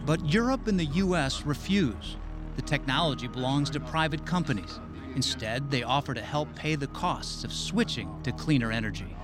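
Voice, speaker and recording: A man's voice: medium pitch, animated, normal speed. A man delivering scripted narration as the narrator of a documentary. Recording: music under the narration. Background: background music.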